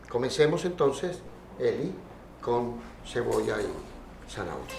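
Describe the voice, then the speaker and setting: Man, host narrator, calm poetic delivery, music playing underneath, from Colombia. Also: mid-range voice. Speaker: host and cook. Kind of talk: scripted narration over a cooking show.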